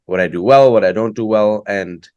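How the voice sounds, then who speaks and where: A man, a guest poet answering interview questions thoughtfully, often pausing and restarting as he thinks aloud. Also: on the low side, darkish tone, dry recording, highs cut off. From Hamilton, Ontario, Canada. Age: late 20s. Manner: reflective, thinks aloud with many fillers and restarts. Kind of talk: conversational interview.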